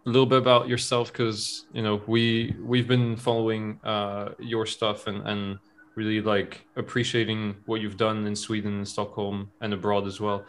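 A man hosting a casual podcast interview. He talks haltingly, laughs often and restarts his sentences a lot. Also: on the low side, monotone. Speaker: podcast host.

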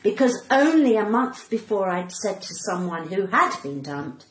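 An echoey recording with some background noise carries a middle-aged woman with a cut-glass English accent. She chats casually as a comedian guest, cheeky and self-mocking, repeating phrases for theatrical effect at a middling pitch. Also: very animated, a little slow. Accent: British, cut-glass English. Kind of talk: casual bantering interview chat.

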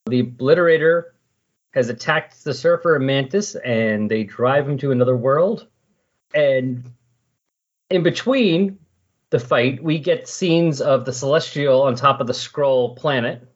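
Man, podcast host, husky voice, conversational, explanatory, slightly muffled lo-fi recording. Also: mid-range voice, measured pace. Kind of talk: casual comic-book recap discussion. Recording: dull, lo-fi voice recording.

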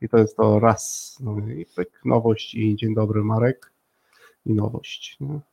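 Gawędzący mężczyzna, lekko skrzypiący głos. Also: male voice, on the low side, steady tone, a little slow, creaky, darkish tone, breathy edge. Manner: chatty, repeats phrases for emphasis. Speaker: host of a live talk show with guests. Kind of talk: casual, friendly co-hosted chat.

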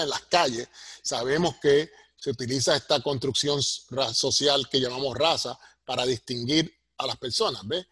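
A middle-aged or older man with a Puerto Rican Spanish accent and a husky voice, explaining things like a professor in an interview and punctuating his points with tag questions. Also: mid-range voice, a bit bright, no echo, studio quality. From Puerto Rico. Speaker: sociology professor, interview guest.

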